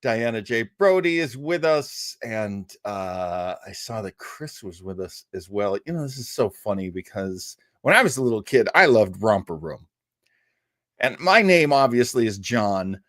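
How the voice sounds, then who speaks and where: A man's voice: medium pitch, fairly bright tone, no room sound, clean studio sound. A middle-aged man hosting a live show, chatting casually and playfully with his viewers in a jokey, easygoing way. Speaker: host of a live old-time radio listening show.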